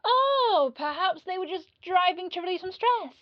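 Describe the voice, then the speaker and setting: A woman's voice: extremely high pitch, expressive, normal speed, muffled recording. A woman playing a clingy rich yandere in an audio roleplay, fluttery and defensive as she feigns innocence.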